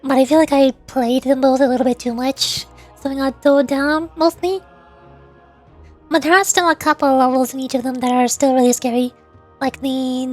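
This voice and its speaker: High-voiced woman, playful streamer chat. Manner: playful, rambling. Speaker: VTuber livestreamer. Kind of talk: casual livestream gaming chat.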